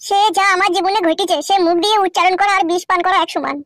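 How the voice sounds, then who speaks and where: A performer plays a heartbroken male villager in a comedy cartoon. The voice is high, quick and dry, full of gloomy, irritable complaint. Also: fairly even intonation, fairly bright tone, dry recording. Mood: miserable. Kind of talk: amateur Bengali comedy cartoon dialogue.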